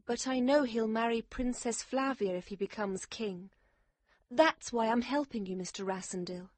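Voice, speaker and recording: A man voicing an anxious, lovelorn woman in an audiobook, breathy and nervously urgent. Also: very high voice, expressive, a little slow, a little mellow, no room sound, pitched above the performer's own voice, full character voice. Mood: sad. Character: anxious, pale, lovelorn woman warning of danger. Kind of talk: audiobook character voice.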